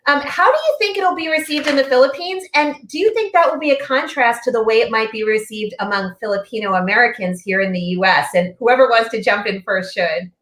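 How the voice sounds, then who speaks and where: A woman's voice: fairly high pitch, fairly quick, few pauses, fairly bright tone, roomy sound. A woman hosting a live panel discussion, asking questions casually with frequent "um"s. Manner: casual, with filler "um"s. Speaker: host.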